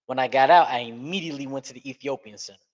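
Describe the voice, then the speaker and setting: Man, podcast guest, earnest and emphatic, mid-pitched voice, shifting pace for stress. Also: rapid-fire, dull audio. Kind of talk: candid interview talk.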